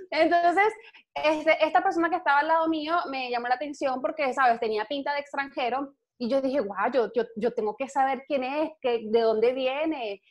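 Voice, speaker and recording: A young woman from Venezuela with a Venezuelan accent, a guest telling stories in an outgoing, animated way and laughing easily. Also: high voice, a little slow. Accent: Venezuelan Spanish. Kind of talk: casual interview chat. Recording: dull lo-fi sound.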